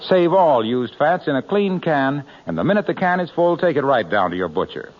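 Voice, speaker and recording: Lively 1940s radio announcer, man. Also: on the high side, brisk. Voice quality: smooth, persuasive, lively delivery. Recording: muffled old radio broadcast.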